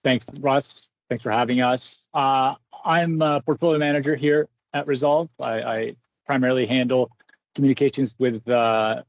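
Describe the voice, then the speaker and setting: Male fund manager from Brazil, measured and articulate, dull lo-fi call line. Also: medium pitch, steady tone, no echo. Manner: measured, articulate, a few fillers. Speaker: portfolio manager. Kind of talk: interview guest on a video call.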